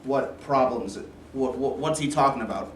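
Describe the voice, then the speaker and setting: A man's voice: mid-range voice, moderate pace, natural voice. A man playing an agitated, defiant and confused ex-football player in a staged play reading, indignant and prone to stammering. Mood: groggy, confused. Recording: echoey room, faint background noise.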